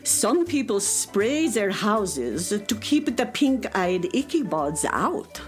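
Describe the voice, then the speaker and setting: A woman's voice: mid-range voice, big pitch swings, takes it slowly, soft background music. A woman playing a patient but firm cat mother in a children's radio play, nagging with exasperation. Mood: firm, lecturing.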